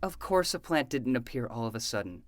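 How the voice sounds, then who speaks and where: Casting: a woman as a harried, sarcastic librarian man for audio drama, swinging pitch, close dry sound. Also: animated, a little fast, dry recording. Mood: exasperated. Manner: exasperated, sarcastic, ranting. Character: exasperated, sarcastic male librarian, grown adult. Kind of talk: fiction podcast acting.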